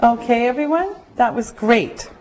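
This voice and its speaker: A woman teaching an English class, giving slow, careful directions to her students in a classroom. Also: fairly high pitch, expressive, darkish tone. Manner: slow, deliberate, clearly spoken directions. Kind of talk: classroom instruction. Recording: muffled lo-fi classroom recording.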